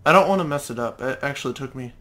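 A man's voice: mid-range voice, rapid-fire, a bit bright. A young man hosting a let's play, rambling and apologetic in casual gameplay commentary. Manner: rambling, self-conscious, apologetic. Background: game music.

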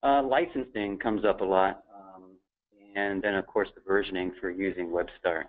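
Guy doing a tech training over a thin phone line, lowish voice, just explains it plainly. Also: fairly even intonation, normal speed. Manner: matter-of-fact, explanatory. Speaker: webinar presenter, technical trainer. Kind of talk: informal technical webinar presentation.

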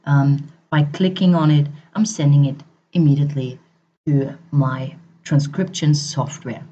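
Low, mellow woman presenting a software demo. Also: steady tone, a little slow, slightly rough. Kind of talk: product demonstration narration. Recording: dull, slightly muffled voice-over.

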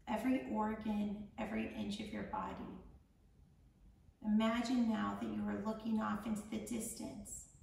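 A woman leading a guided meditation in a soothing, gentle, measured manner with long pauses. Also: medium pitch, vocal fry. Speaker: meditation guide, founder of a yoga charity. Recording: echoey room, distant mic, faint noise.

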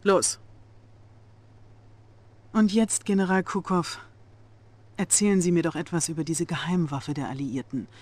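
A woman's voice: lowish voice, a little slow, pauses often, a little mellow. An actress playing a young, ruthless female Soviet intelligence officer, controlled, icy and authoritative, in a game cutscene. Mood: commanding. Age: young adult. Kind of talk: dramatic military briefing.

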